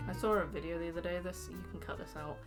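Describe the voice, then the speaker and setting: A woman's voice: fairly low pitch, fast talker. Informal joking woman, music underneath. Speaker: video co-host. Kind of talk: casual chat, reading out and discussing comments.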